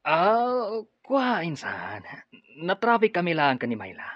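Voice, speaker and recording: Shifty office schemer, radio drama, stammering, exclamatory, wildly varied pitch, muffled recording. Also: male voice, huge pitch swings, takes it slowly, no echo, full character voice. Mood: flustered, evasive. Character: shifty, flustered male office schemer caught out.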